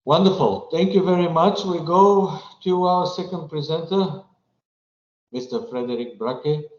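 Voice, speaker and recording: A man's voice: fairly high pitch, measured pace. A man who speaks English as a second language, moderating a webinar in a formal, wordy and somewhat hesitant way. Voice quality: non-native English speaker. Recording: roomy, slightly dull webinar audio.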